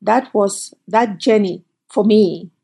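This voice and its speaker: A middle-aged woman from Cameroon, a company founder telling her story as a guest in an interview, lively and quick in bursts. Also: medium pitch, vocal fry, slightly rough. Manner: animated, storytelling, quick bursts, laughs often. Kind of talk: conversational interview.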